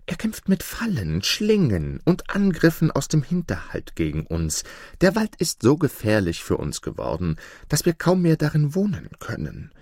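A sly, fawning fox who bows and scrapes in a raised, pleading voice, slipping into hushed whispers, as a storyteller puts him on. The tone swings widely, and the recording is dry and close. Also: male voice, wildly swooping pitch, normal speed, no echo, full character voice. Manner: obsequious, soft and high, sometimes whispering. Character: sly fawning male fox, servile outside, scheming inside.

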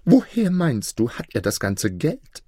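A scheming royal vizier from a storybook tale. The voice is pitched well up, strained and whining. He drags out his words with jealous insinuation. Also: wildly swooping pitch, warm tone, husky, no echo, clean studio sound, full character voice. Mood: insinuating. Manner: whining, drawn-out.

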